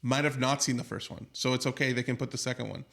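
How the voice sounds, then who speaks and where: A man's voice: medium pitch, fast talker, creaky. Laid-back young man recapping a film. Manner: relaxed, hesitant, many fillers and restarts while recapping. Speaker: podcast co-host. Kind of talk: casual chat.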